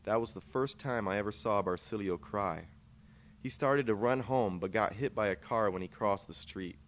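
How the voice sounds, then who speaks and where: Low-key man reading a story, noisy recording. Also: moderate pace. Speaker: author reading his own short story. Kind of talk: scripted first-person story narration.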